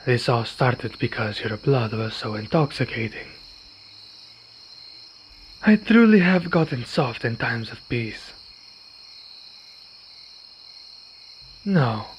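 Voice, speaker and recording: A man playing a weary, courteous vampire in an ASMR roleplay, speaking in formal, measured phrases. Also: medium pitch, animated, close to an everyday voice. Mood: soft, wry. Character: weary, courteous male vampire, wounded but calm. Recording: dull recording with night insect noise. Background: crickets and night insects.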